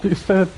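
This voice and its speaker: A man with a Brazilian accent giving casual, slangy, sardonic live reactions as a game streamer. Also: noisy background.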